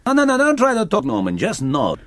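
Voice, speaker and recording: A smug Italian schemer. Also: male voice, high voice, huge pitch swings, fairly quick, a bit bright, no room sound, exaggerated voice. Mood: fussy. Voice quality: broken English, theatrical. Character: smug scheming Italian man, fussy parrot owner. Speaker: parrot owner. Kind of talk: sitcom acting.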